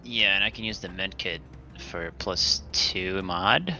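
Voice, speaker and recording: A man's voice: deep voice, unhurried, pushed and bright, faint music behind, rough recording. A man playing a tabletop roleplaying game, chatting casually as he works out his moves.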